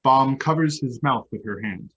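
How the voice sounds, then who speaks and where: A man's voice: mid-range voice, moderate pace, a bit bright, dull audio. A man reading out stage directions as scripted narration at a play table read.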